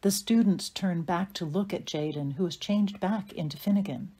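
A woman reading scripted narration and stage directions for an audio play in a measured, atmospheric way. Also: lowish voice, dark tone, breathy edge.

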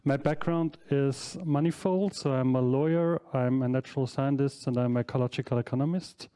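A man's voice: mid-range voice, a little fast, dark tone, clean tone. A man in his forties, speaking English as a second language, gives a university lecture on slides in a large hall through a headset mic, with frequent fillers and self-corrections. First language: not English. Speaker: professor giving an inaugural lecture. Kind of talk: academic lecture.

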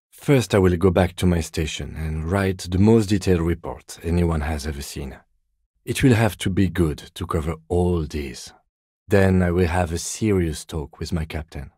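A man playing a composed, dutiful police lieutenant in a video game, speaking in a measured, precise, formal and understated way. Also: low voice, a little mellow, slightly rough, no echo, clean studio sound. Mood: earnest, measured. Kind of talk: scripted game dialogue.

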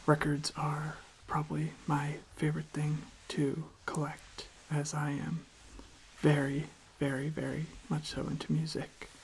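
A young adult ASMR host speaking in a soft, gentle whisper, halting and repeating words, close to the microphone. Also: medium pitch, completely flat delivery, vocal fry, slight background noise, low-quality recording.

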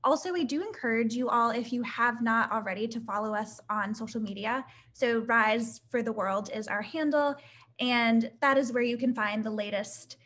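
A woman's voice: a little high, normal speed, a bit bright. Upbeat young woman hosting a webinar. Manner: upbeat, many fillers like "um" and "like". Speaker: webinar host reading audience questions. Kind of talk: casual live Q&A webinar. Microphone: laptop webcam mic on a video call.

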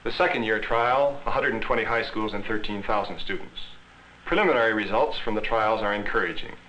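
A man's voice: on the low side, fairly quick, sharp tone. An American man in his thirties presenting an educational film in a scripted narrating style. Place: classroom laboratory film set. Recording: muffled, hissy 1960s film soundtrack.

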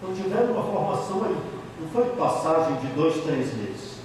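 A middle-aged man with a Bahian accent speaks as a priest, recounting his life with emphasis and frequent repetition. His voice sits somewhat high. It comes from a distance in a room with long, roomy echo. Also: very animated, fairly quick, a little mellow, slight background noise. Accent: Bahian Portuguese. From Bahia, Brazil. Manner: emphatic, repeats key phrases, frequent tag questions. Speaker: priest giving a talk. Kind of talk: storytelling talk about his life. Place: office meeting room, indoors. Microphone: handheld mic. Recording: echoey room from a far camera.

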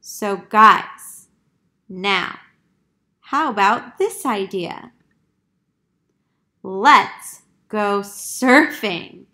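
Woman as a polite, bright-voiced storybook monkey. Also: medium pitch, lively intonation, drawn-out words, pauses often, full character voice. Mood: upbeat. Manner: courteous, earnest. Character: polite, helpful young monkey handyman.

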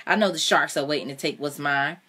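A woman's voice: deep voice, brisk, pushed and bright, breathy edge, full character voice. A woman voicing a proud, embattled man who hurls heated questions at his lover. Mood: coaxing. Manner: heated, demanding rhetorical questions.

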